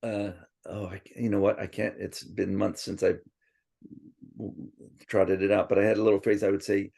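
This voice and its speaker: A man with a gravelly, dark voice tells stories in a loose, rambling way. He restarts often and wanders into asides. Swedish was his first language. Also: on the low side, level tone, normal speed. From born in Princeton, raised partly in Tokyo. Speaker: interviewee. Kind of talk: casual reminiscing interview.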